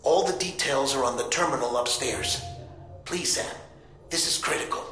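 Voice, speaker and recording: A man's voice: medium pitch, normal speed, pushed and bright, natural voice. An actor playing an earnest male ally who reasons aloud and gives urgent instructions in a game cutscene. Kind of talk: video game cutscene acting.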